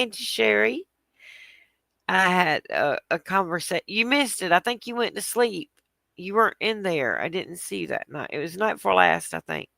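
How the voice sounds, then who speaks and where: A woman chatting on her livestream, her voice stuffy from congestion and heavily gravelly. The tone is bright and forceful, and the sound is close and dry, with no room in it. She rambles in a loose, friendly way and greets viewers as she goes. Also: fairly low pitch, no room sound. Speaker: livestream host. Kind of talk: casual livestream chat.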